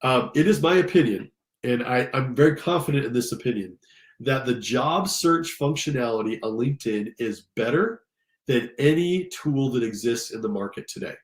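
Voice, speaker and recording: A man's voice: medium pitch, lively intonation, moderate pace. Congested American man in an echoey room. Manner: casual, enthusiastic, addresses listeners directly. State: slightly congested from allergies. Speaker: career coach and instructor. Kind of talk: informal screen-share tutorial. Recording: roomy, echoing sound.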